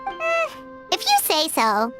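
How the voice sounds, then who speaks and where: A woman voicing a small childlike fairy companion in an anime game, squeaky, curious and easily baffled. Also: wildly swooping pitch, takes it slowly, music in the background, full character voice. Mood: doubtful, puzzled. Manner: refers to self in third person, exclaiming.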